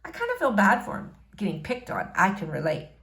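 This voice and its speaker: A woman's voice: medium pitch, wildly swooping pitch, brisk, gravelly, fairly bright tone, heavily acted. A woman voicing a reluctant, wry young schoolboy in a storybook read-aloud, hesitant and understated in manner. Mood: thoughtful. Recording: roomy, echoing room sound.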